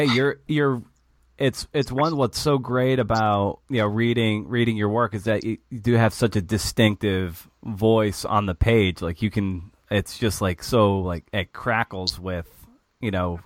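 A man's voice: fairly low pitch, dry recording, studio quality. A man hosting a podcast interview, asking long, searching questions full of restarts and fillers. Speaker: podcast host.

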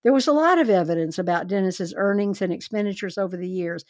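A woman hosting a true crime podcast, telling the case in a chatty, animated, wry way. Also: mid-range voice, quick pace, no room sound, studio quality. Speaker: podcast host.

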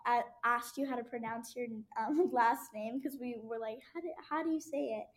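A woman's voice: on the high side, normal speed. A woman interviewing an author, asking casual questions with frequent "like". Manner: casual, uses "like" often.

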